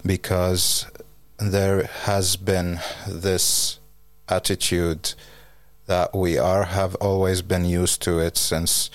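A man from Finland talks slowly and haltingly, his voice very low and deep. He fills his pauses with ums and uhs. The sound is dry and close. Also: flat delivery, no echo. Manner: hesitant, halting, many fillers. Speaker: guest. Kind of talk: casual conversation.

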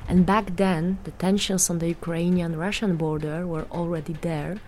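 A woman from Poland with a Polish accent, giving a measured, earnest prepared statement as an artist and vocal performer. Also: fairly low pitch, steady tone, mellow, a little hiss or hum.

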